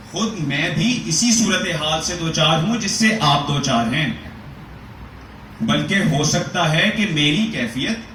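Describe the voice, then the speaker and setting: Guy reading an essay out loud all dramatic, higher voice, echoey room, kinda far from the mic. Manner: measured, rhetorical, questioning delivery. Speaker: reader of a literary essay. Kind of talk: dramatic reading of Urdu prose. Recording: echoey room, voice from a distance, faint noise.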